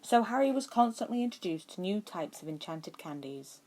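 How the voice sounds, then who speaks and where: A woman's voice: mid-range voice, sing-song, normal speed, a little mellow, poor audio. A woman reading a story aloud as scripted narration. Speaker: fan fiction podfic reader.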